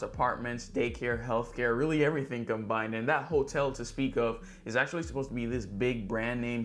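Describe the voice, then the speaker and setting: A man's voice: medium pitch, slight background noise. Conversational man hosting an upbeat video recap. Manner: upbeat, chatty, frequently addresses viewers directly. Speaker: real estate agent hosting a weekly local news recap. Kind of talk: vlog news recap to camera, conversational.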